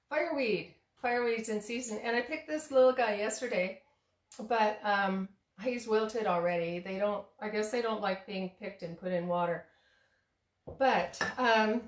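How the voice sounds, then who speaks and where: A woman chatting casually while cooking at home, rambling with many fillers and restarts, her voice slightly hoarse. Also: on the high side, echoey room. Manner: laughs at herself. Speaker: tea shop owner and cooking vlogger. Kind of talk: casual kitchen demo chat. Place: home kitchen.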